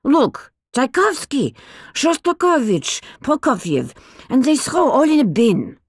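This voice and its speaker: A woman's voice: sits high, huge pitch swings, slow, breathy edge, no echo, clean studio sound, pitched above the performer's own voice, exaggerated voice. An actress voicing an eccentric, grand old woman who speaks in broken, foreign-sounding grammar with a haughty, regal air. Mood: indignant. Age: older. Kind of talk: audiobook character voice.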